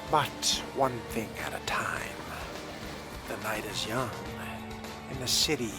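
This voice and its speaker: A man's voice: mid-range voice, slow, natural voice. A man playing an arrogant, power-hungry vampire fledgling in a video game role-play, speaking in theatrical, formal phrasing over music. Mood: hushed, fascinated.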